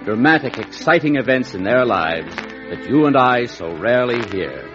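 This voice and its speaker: A man's voice: medium pitch, big pitch swings, normal speed. A man giving a grand, dramatic introduction to a vintage radio show. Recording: muffled old radio recording. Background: loud music.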